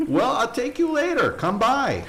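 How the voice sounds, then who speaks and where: Casting: male actor as boastful, cheerful tour guide, Latin American Spanish accent, very lively pitch, earnest and halting, mockumentary comedy. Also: gravelly, exaggerated voice. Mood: boastful, enthusiastic. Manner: earnest, halting, searching for words. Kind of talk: comic mockumentary interview.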